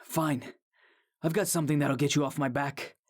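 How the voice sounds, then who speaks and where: Guy doing a brash young rookie luchador, kinda high husky voice, cocky and taunting, audio drama style. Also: fairly even intonation, normal speed, close to an everyday voice. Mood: sullen, sly.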